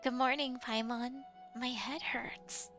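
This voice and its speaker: Casual woman streaming a game, slightly high. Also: fairly even intonation, slow, music in the background. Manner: casual, reads player dialogue aloud and reacts. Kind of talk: live game stream, reading lines and reacting.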